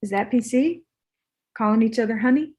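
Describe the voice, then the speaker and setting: A woman's voice: a little high, a little fast, darkish tone, breathy edge. A woman playing a vain, laid-back young male movie star, hesitant and defensive, in a comedy table read.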